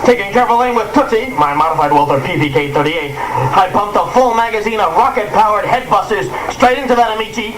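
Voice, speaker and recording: A man's voice: high-pitched, brisk, natural voice. A man playing a smug secret agent who narrates his own adventures in a parody hardboiled radio play, on a noisy cassette. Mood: smug, deadpan. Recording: noisy old cassette of live performance.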